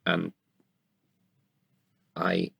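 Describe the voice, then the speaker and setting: A man with a deep voice that often drops into fry. He speaks in a reflective, personal interview style, breaking sentences with pauses as he goes. The recording is close and dry. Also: monotone, sharp tone, no room sound. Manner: halting, pausing mid-sentence. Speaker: actor and guest writer.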